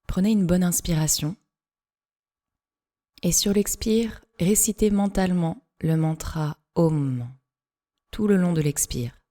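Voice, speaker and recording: Slow, mellow woman guiding deep relaxation. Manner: measured, instructing, leaves pauses between short phrases. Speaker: yoga nidra instructor. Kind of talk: guided relaxation meditation, scripted instructions in short phrases.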